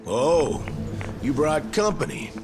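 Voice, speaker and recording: An actor playing a sardonic, determined older disgraced cop in a video game drama, with a folksy, taunting way of talking. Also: male voice, a little high, sing-song, over loud music. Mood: smug. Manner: taunting, folksy, drawn-out delivery. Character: gruff, sardonic older discharged male cop, smug and determined.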